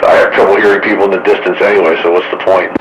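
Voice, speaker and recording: A man's voice: sits low, flat delivery, very fast, muffled recording. A man chatting casually with other operators over a two-way radio. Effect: two-way radio transmission. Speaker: radio operator in a group chat. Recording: very loud radio noise.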